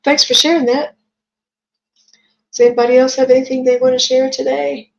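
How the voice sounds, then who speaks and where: A woman's voice: sits high, little pitch movement, a little fast. A woman, chatting casually as she hosts a live psychic reading stream and reads out viewer comments. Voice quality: scratchy, gravelly voice. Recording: dull lo-fi stream audio.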